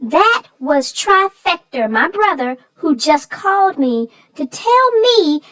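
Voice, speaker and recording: Woman putting on a high-pitched, sassy, feisty lady. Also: big pitch swings, a bit bright, heavily acted. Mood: indignant, scheming. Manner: folksy, emphatic, drawn-out storytelling. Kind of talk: scripted chatty banter about a children's book.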